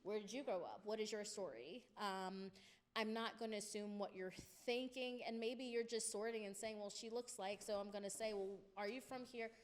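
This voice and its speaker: A woman's voice: medium pitch, moderate pace. A woman speaking on a college panel, talking thoughtfully with many "you know" fillers. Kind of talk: panel discussion. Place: college lecture hall.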